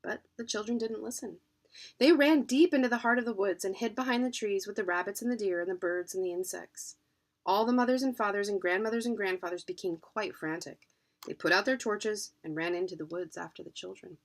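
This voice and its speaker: An adult woman narrating a children's storybook in an expressive reading style. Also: medium pitch, fairly quick. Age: adult, parent. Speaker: children's book reader.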